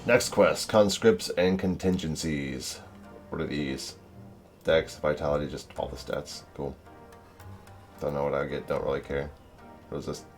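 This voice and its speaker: A man's voice: very deep voice, steady tone, measured pace, creaky, long pauses, faint music behind. A young man chatting casually and in friendly slang as he livestreams a game, with an easygoing manner. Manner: laid-back, friendly slang. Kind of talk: casual chat while playing.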